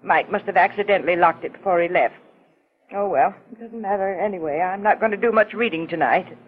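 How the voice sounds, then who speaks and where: An actress playing a devoted, hardworking spinster secretary in a 1940s radio drama, with a dutiful manner. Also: female voice, fairly low pitch, brisk, husky, narrow, tinny audio. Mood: mildly puzzled. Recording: thin, band-limited 1940s radio recording.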